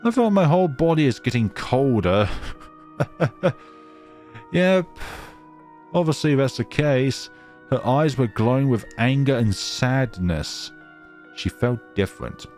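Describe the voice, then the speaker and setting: A man's voice: mid-range voice, expressive, slow pace, long pauses, a little mellow, soft background music. A man hosting a gaming video, reading a visual novel aloud dramatically with casual, wry commentary.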